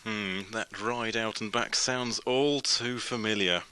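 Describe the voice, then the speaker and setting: A man's voice: lowish voice, fairly even intonation, takes it slowly, sharp tone, clear, resonant tone. A man reading news items and reviews from a script as a radio presenter.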